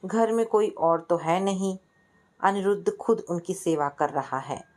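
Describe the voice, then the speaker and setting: A woman's voice: on the low side, a little slow, darkish tone, natural voice. A woman narrator plays a young male student from a family storybook. He is blunt and loyal, speaking firmly and matter-of-factly. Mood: earnest.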